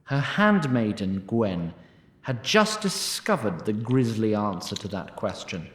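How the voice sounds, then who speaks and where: Animated, wry male storyteller. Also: lowish voice, unhurried, roomy sound. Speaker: narrator. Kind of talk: scripted storytelling narration.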